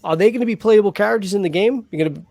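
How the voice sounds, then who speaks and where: A man's voice: high voice, very fast, vocal fry, a little hiss or hum. A man hosting a casual live reaction chat, talking in a rambling way with lots of restarts. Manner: rambling, restarts often, animated. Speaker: host.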